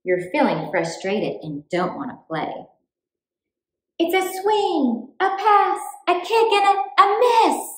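A woman reading a children's book aloud in an expressive, deliberate storytime style for young children. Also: very high voice, echoey room. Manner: clear, deliberate, animated delivery for young children.

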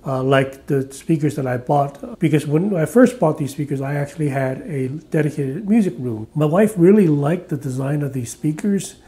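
A man in his forties giving a relaxed, chatty speaker review in his untreated living room, filmed at chest height, pausing often with fillers. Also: fairly high pitch. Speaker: audio reviewer. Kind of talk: casual product review.

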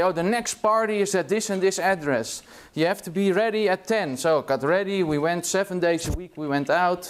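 A middle-aged Dutch man lecturing in a big university hall, his voice sitting noticeably high for a man. He speaks English with a Dutch accent in a loose, chatty way, often tossing a quick "yeah?" to the students. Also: clean tone. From Netherlands. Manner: casual, chatty, tags remarks with "yeah?". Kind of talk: classroom lecture.

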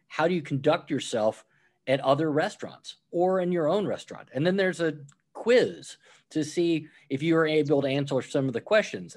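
A man who wrote a book on restaurant management, talking it through as a podcast guest and walking through examples step by step. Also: a little high. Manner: explains with worked examples, acts out quoted replies. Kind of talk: conversational podcast interview.

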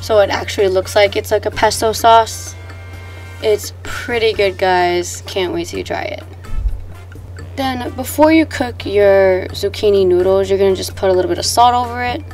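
A woman's voice: mid-range voice, moderate pace, a little mellow, soft background music. A young woman with a stuffy, congested voice from a cold, talking casually through a cooking tutorial in her home kitchen, with slang and fillers. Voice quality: chatty, laid-back, sprinkles in slang and fillers. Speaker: cooking vlogger.

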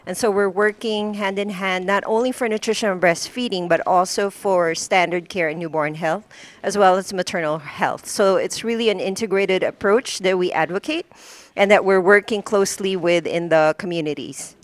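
A woman from the Philippines, a pediatrician, introducing her organisation in a measured, explanatory way into a handheld mic. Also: mid-range voice. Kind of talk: short self-introduction.

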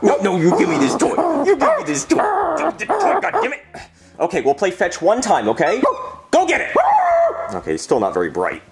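A man voicing a grumpy, sarcastic police officer who keeps breaking into excited yelps. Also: extremely high pitch, moderate pace, creaky, exaggerated voice. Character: grumpy sarcastic male cop.

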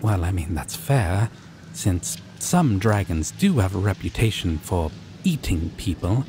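A man voicing a shy, gentle male dragon in a fantasy roleplay, hesitant and reassuring. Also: low voice, a little slow. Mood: gentle concern. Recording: loud steady background noise.

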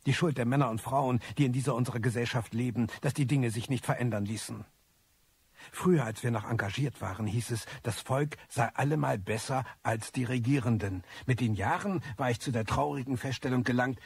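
An actor playing a disillusioned, bitter loner, narrating a radio drama in a husky, articulate voice. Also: male voice, medium pitch. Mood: bitter reflection. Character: disillusioned, bitter male loner, obsessive computer eavesdropper.

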